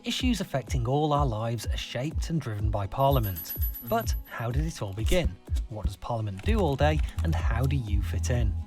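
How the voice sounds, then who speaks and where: A scripted explainer narration played from an educational video, measured in its delivery. The pitch moves lively through each phrase. Music plays clearly underneath the voice.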